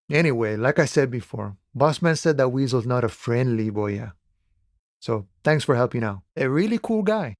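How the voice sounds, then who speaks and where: A man's voice: medium pitch, darkish tone, dry recording, studio quality, close to an everyday voice. Man acting laid-back philosophical cowboy-ish dock worker. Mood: nonchalant, friendly. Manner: unhurried, folksy musing, calls people friend and boya. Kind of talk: video game character dialogue.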